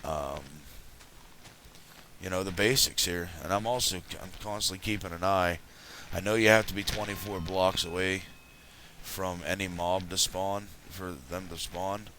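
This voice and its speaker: A low-voiced man talks through a game early in the morning, his voice raspy and still sleepy. His tone is bright and forward, and he rambles along in a chatty, relaxed way. A faint hiss sits under the recording. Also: unhurried. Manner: rambling, chatty, self-correcting. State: just woken up. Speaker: let's play host. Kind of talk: casual gameplay commentary.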